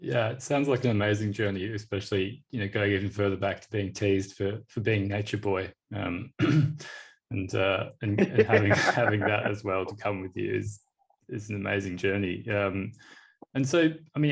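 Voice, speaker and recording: A man's voice: on the low side, steady tone, normal speed, gravelly, husky. A man hosting a podcast, speaking in a friendly, casual way with fillers as he asks a guest questions. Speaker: podcast host. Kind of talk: casual interview chat.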